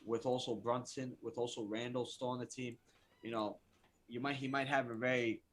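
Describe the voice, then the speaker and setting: A man's voice: medium pitch, little pitch movement, moderate pace. Hyped up guy on a sports podcast, casual, swears a lot. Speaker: podcast co-host.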